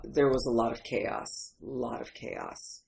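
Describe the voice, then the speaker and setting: A woman's voice: rumbling low, measured pace, creaky, darkish tone. A woman in her fifties with an American accent, originally from Syria, talking candidly and emphatically as an interview guest. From Syria, then Detroit area in the United States. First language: not English. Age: 50s. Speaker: interview guest, memoir writer. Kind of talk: conversational personal storytelling.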